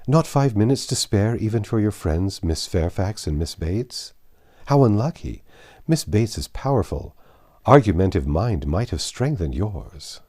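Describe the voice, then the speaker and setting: A man voicing a poised, teasing young gentlewoman in a reading of a classic novel, with polite, playful manners. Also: lowish voice, sing-song, a little slow, full character voice.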